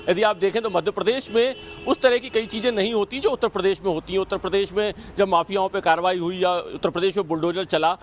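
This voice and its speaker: A man's voice: sits high, fast, few pauses, a little hiss or hum. A man giving unscripted live analysis as a news reporter, explaining and repeating points for emphasis.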